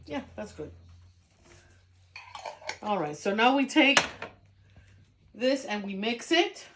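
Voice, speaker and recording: A woman's voice: fairly high pitch, sing-song, slow pace, background noise. A woman chatting casually as she demonstrates a home cooking recipe, laughing now and then. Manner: chatty, laughs while explaining. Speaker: home cook.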